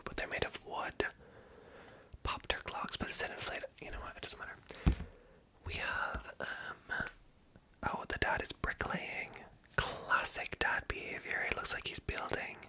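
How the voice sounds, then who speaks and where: A woman whispering softly as she reads jokes aloud and adds wry, self-mocking asides, stumbling over words now and then. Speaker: ASMR creator. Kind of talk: whispered ASMR commentary.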